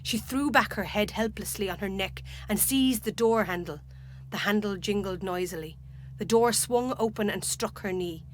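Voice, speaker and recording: A woman with an Irish accent narrating a literary short story in a measured, dramatic way. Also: medium pitch, a little hiss or hum.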